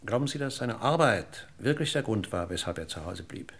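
Casting: radio-drama inspector, low creaky voice, dry, courteous, needling. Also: male voice, expressive. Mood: politely insistent. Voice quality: gravelly, husky. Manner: formally polite, deliberate, needling questions. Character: probing, courteous male police inspector, dryly persistent. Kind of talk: German radio crime drama acting.

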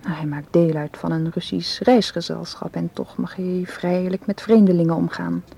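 A woman's voice: on the low side, fairly even intonation, normal speed, creaky, mellow, husky. An actress in a Dutch radio play, playing an anxious, guarded woman who speaks hesitantly and earnestly. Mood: troubled, hesitant. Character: anxious, guarded woman distrustful of her male suitor.

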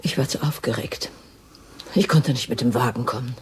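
An actress playing a hurt but resolute police detective in a naturalistic German radio drama, speaking with wounded intensity. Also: female voice, very deep voice, moderate pace, a little hiss or hum. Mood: agitated, hurt.

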